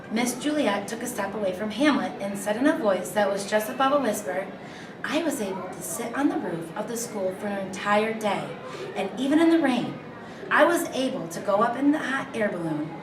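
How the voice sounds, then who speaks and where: A woman's voice: fairly high pitch, lively intonation, moderate pace, a crowd in the background. A woman on a school staff reading a children's story aloud. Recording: echoey room, distant mic, clear noise.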